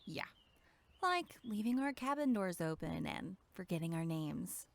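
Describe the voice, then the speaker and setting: A woman plays a young female camp counselor with a slightly low voice and a short fuse. She is curt and sardonic, acting in a horror audio drama with a snippy edge. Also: very animated, unhurried, gravelly, noisy background, full character voice. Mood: sarcastic.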